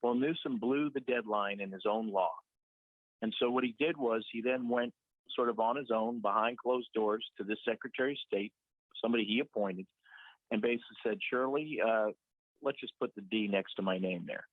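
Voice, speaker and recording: A man's voice: mid-range voice, fairly quick, no room sound. A man as a guest on a talk radio show, explaining things at length with a joking touch, calling from a side room. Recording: dry tinny phone-line audio.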